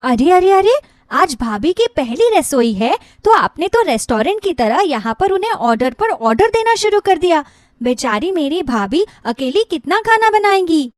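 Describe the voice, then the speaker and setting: Woman voicing a very high-pitched, bubbly young sister-in-law. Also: very animated, moderate pace, no echo, clean studio sound. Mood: spirited, protective. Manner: eager, playful, talkative. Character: cheerful, chatty, affectionate young sister-in-law. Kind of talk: animated Hindi moral-story character voice.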